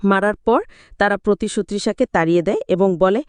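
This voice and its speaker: A woman's voice: mid-range voice, fairly quick, smooth, no echo. A woman reading a scripted, dramatic retelling of a film as a movie explainer. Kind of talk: scripted dramatic story narration.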